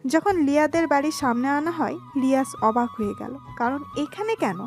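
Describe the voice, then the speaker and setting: Female story narrator, very high voice, scripted romantic storytelling, faint music behind. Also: normal speed, darkish tone.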